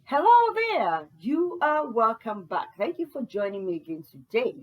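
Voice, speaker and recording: A woman who writes books, talking casually to camera in an unhurried way and reading reviews aloud. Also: fairly high pitch, big pitch swings, soft background music. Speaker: author and book channel host.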